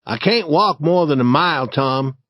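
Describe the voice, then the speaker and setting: A man voicing a timid boy sidekick, in a slightly raised pitch, hesitant and stammering when scared. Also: expressive, moderate pace, fairly bright tone, no echo. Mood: nervous. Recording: dry, slightly dull recording.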